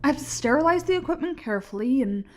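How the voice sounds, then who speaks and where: A woman's voice: sits high, lively intonation, fast talker. A woman in an audio play acting an earnest, sceptical young mycology student who speaks precisely and emphatically, sounding unsettled. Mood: earnest, explaining.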